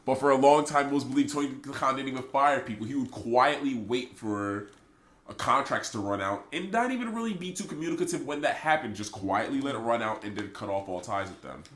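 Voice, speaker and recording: A man's voice: medium pitch, a little fast. A man co-hosting a casual podcast, his voice stuffy and congested from a cold, ranting with strong opinions. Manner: opinionated, animated rants. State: stuffy, sick voice. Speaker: podcast co-host. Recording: echoey room.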